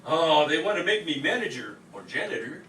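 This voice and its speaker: Blustering, rambling comic starship captain male actor, piercingly bright delivery, echoey live-stage radio play sound. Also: fairly high pitch, very animated, a little fast. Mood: cheerfully oblivious. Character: bumbling, oblivious, pompous spaceship captain, a man. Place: live stage performance in a room. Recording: distant mic in echoey room, faint noise.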